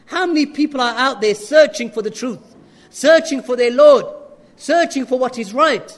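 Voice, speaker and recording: A man preaching an earnest sermon with rhetorical questions and quick Arabic recitation. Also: very high-pitched, in a large hall. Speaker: Islamic preacher.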